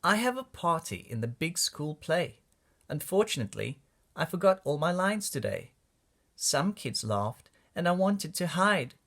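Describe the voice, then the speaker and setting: Male storybook reader for young kids, lively and varied intonation, unhurried pace, expressive phrasing. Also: on the high side, creaky. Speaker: children's book reader. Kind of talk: storybook read-aloud for kids.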